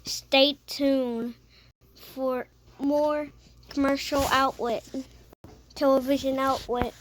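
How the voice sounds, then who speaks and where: An amateur announcer with a high voice play-acting a TV weather emergency broadcast, speaking haltingly with stumbles and self-corrections. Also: level tone, slight background noise, poor audio.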